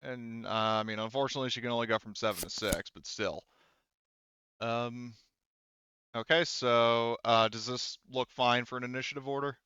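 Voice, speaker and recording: A man running an online tabletop roleplaying game as game master, talking casually and thinking aloud with hesitant pauses. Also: mid-range voice, fairly even intonation, sharp tone, clean tone, dry recording, dull audio.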